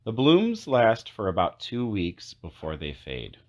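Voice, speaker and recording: A man with a low, deep voice reads a children's storybook aloud. His intonation rises and falls in lively swings, and he sets phrases apart with deliberate pauses. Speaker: children's book read-aloud narrator. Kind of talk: read-aloud storybook narration.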